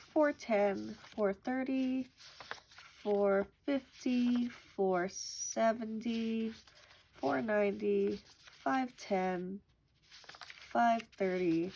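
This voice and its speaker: A woman's voice: a little high, a little mellow, a little hiss or hum. A young woman who is sick with a cough. She chats casually while counting cash aloud as host of a budgeting channel at home. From Canada. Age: young adult. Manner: chatty, counts money aloud.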